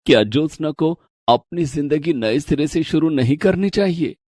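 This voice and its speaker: A man voicing a kindly, earnest, gently authoritative older father-in-law in a cartoon story. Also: a little high, lively intonation, moderate pace, dry recording, studio quality. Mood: thoughtful. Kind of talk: animated moral story dialogue.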